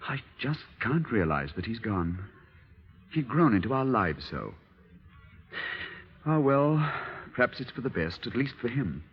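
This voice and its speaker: An adoring but condescending husband in a period radio play. His delivery is theatrical and widely swinging, with a husky edge, on a dull vintage recording. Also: mid-range voice, sing-song, unhurried, long pauses, exaggerated voice. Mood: somber. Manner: patronizing, ardent, theatrical. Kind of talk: 1930s radio acting. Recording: muffled old radio drama recording.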